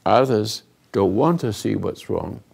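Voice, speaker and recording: An older man hosting a talk, giving rambling, earnest moral teaching to a live group, often dropping into hushed asides. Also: on the low side, lively intonation, unhurried. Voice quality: gravelly, husky. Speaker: host and spiritual counselor.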